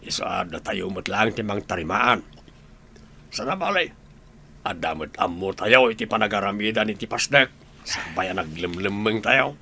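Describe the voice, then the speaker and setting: A man's voice: fairly low pitch, lively intonation, normal speed, vocal fry, sharp tone, a little hiss or hum, full character voice. A man with an Ilocano accent acting a loyal, eager crew worker in a radio drama.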